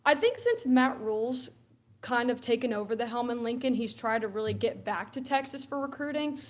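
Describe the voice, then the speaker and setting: A woman's voice: fairly high pitch, animated, fairly quick. A young woman from Alabama, guest on a sports talk show, chatting casually and laughing often. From Alabama, USA. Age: young adult. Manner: chatty, laughs often, acts out quoted exchanges. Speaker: guest co-host.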